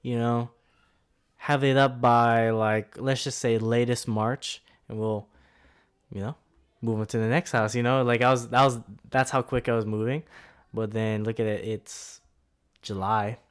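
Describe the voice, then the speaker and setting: A man's voice: mid-range voice, little pitch movement, unhurried, smooth. A man in his twenties, co-hosting a podcast in a laid-back, rambling way, often laughing. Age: 20s. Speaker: podcast co-host. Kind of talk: casual chat.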